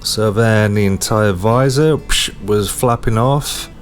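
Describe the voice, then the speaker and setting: A man narrating a motorcycle vlog in a casual way, with hesitant pauses as he talks. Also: lowish voice, faint music behind. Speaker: motorcycle vlogger.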